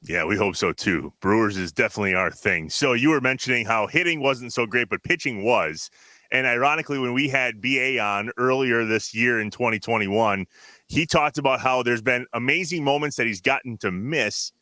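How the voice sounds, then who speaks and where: A man's voice: medium pitch, moderate pace, sharp tone, dry recording. A man hosting a sports podcast in a casual, conversational style. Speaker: podcast host.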